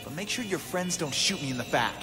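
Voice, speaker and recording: An actor playing a quippy, sarcastic young masked superhero in a video game, with scripted delivery. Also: male voice, fairly high pitch, animated, fairly quick, natural voice. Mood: wry. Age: young adult. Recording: loud music behind the voice.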